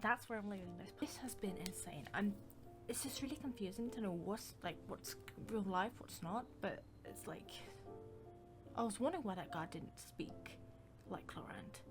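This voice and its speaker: Female gaming streamer, mid-pitched, loose informal reactions and commentary, music clearly playing behind. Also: lively intonation, moderate pace. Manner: informal, rambling. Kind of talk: casual game commentary.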